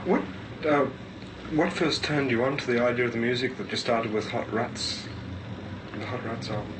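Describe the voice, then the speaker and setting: A man's voice: medium pitch, fairly even intonation, moderate pace. An Australian man asking questions as an interviewer. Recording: distant, noisy 1970s interview tape.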